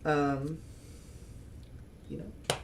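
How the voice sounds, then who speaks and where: A man's voice: on the high side, very animated, extremely slow, a little hiss or hum. A man hosting a board game channel, thinking aloud through a solo game with chuckles and self-mocking asides. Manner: speaks as a loud, projecting voice. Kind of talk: casual solo playthrough commentary.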